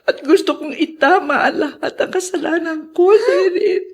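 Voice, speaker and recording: A woman's voice: extremely high pitch, animated, moderate pace. An actress playing an emotional, anguished mother in a Filipino radio drama. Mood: tearful remorse. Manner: dramatic, anguished, breaking into sobs. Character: guilt-ridden ailing mother, emotional and remorseful.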